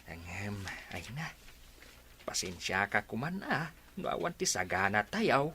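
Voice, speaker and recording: Humble, tender father with animated pitch played by a man. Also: a little slow, slight background noise, heavily acted. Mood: tender, apologetic. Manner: apologetic, tender. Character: humble, affectionate poor father of a grown daughter. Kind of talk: Ilocano radio drama acting.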